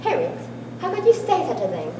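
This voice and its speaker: A woman's voice: extremely high pitch, wildly swooping pitch, brisk, full character voice. Young woman as passionate hidden self, bitter, emphatic, amateur stage play, distant, noisy hall recording. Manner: emphatic, agitated, declamatory. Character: raw, bitter, passionate hidden inner self of wealthy wife. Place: large school hall with small audience. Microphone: far camera. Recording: echoey hall, distant camera, loud room noise.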